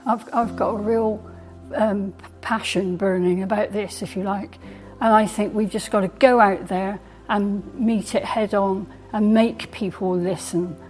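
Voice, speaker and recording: A woman's voice: medium pitch, fairly even intonation, unhurried, darkish tone, soft background music. A woman speaking earnestly and with determination in a documentary-style interview. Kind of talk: interview testimony.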